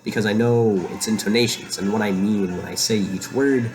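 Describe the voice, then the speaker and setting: A young man hosting a rambling solo monologue from a room in a shared house, talking quietly and musing aloud with lots of fillers. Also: fairly low pitch, fairly even intonation, normal speed. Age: young adult. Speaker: podcast host. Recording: quiet home recording with music behind. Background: faint music playing.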